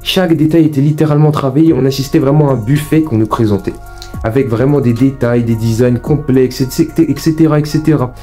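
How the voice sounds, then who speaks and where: Young adult man, mellow dark timbre, mid pitch, emphatic colloquial opinion commentary, music behind. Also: fast. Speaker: video essay host.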